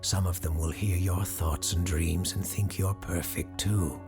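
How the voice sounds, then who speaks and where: Lovesick young sailor read by a woman, dreamy, insistent, deep-voiced, music beneath, for an audio ghost tale. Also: fairly even intonation, measured pace. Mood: coaxing. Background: music under the voice.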